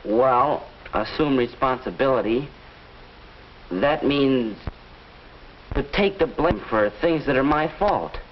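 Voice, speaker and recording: A young actor playing an earnest, eager high school student in a 1950s instructional film. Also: male voice, expressive, takes it slowly, long pauses. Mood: earnest, unsure. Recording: muffled old film soundtrack, faint noise.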